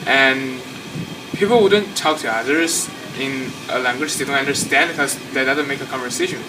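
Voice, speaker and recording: A man's voice: mid-range voice, normal speed. A man plainly explaining a class experiment, with slight stumbles and self-corrections. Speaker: student presenter. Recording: distant mic with background noise.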